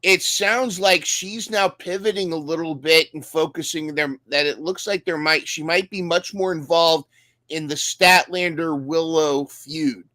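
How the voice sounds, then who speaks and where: A man's voice: on the high side, fairly even intonation, unhurried, bright, forceful tone, no echo. A man co-hosting a casual recap podcast, talking enthusiastically and often restarting his sentences. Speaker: podcast co-host.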